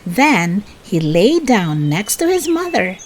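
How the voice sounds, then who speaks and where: Slow, animated woman reading a children's story. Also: medium pitch, a little hiss or hum. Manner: deliberate, expressive storytelling for young listeners. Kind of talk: children's read-aloud narration.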